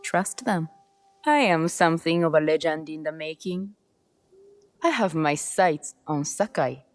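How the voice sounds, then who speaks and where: A proud, ambitious noblewoman of feudal Japan, teasing and sure of herself. She speaks slowly in a lowish voice, recorded dry, in the manner of a game cutscene. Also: animated, no room sound. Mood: assured, probing. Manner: self-assured, teasing, haughty. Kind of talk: video game cutscene acting.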